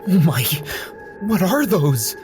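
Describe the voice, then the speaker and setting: A man's voice: high voice, big pitch swings, slow, heavily acted. An actor playing a nervous young man who tags along with his friend, teasing him and worrying about getting caught. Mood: scared. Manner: teasing, laughs easily, worried. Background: music.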